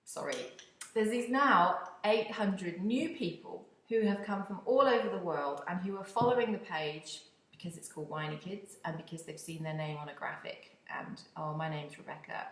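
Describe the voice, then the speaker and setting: Chatty British woman, lowish voice. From United Kingdom. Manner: chatty and expressive, with wry asides. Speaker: podcast host. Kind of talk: solo podcast monologue. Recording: echoey room.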